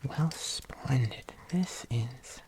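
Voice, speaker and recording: An ASMR performer playing a kindly, patient locksmith, murmuring gently and unhurriedly to the listener, close to the microphone. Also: mid-range voice, very slow. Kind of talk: whispered ASMR roleplay.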